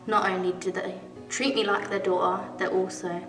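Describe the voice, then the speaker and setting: Twenty-something woman, soft and reflective, a bit of fry, music under her. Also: mid-range voice, level tone, normal speed. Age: 20s. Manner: open and reflective, soft-spoken. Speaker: church member giving testimony. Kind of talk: testimony interview. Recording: echoey hall, mic far off, music behind.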